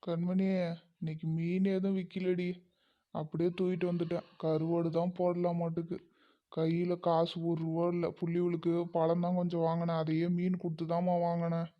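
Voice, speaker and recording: A man voicing a poor, hardworking fisherman father in an animated story, in earthy speech. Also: fairly high pitch, moderate pace, lo-fi sound, lightly acted. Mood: downcast. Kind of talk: cartoon dialogue in colloquial rural speech.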